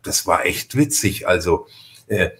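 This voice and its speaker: A man's voice: sits low, lively intonation, a little slow, fairly bright tone. A middle-aged man, chatting casually and emphatically as a podcast host. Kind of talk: casual chat.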